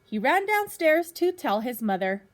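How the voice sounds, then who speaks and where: Higher-voiced woman reading a picture book aloud. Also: big pitch swings. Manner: pauses to put questions to young listeners. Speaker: teacher reading to pupils.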